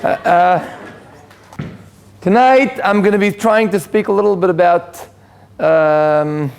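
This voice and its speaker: A man's voice: high-pitched, slow pace, creaky, clean tone. A man with an Israeli accent, a native Hebrew speaker, giving an informal lecture to a live audience with joking asides and frequent restarts. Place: community hall with live audience.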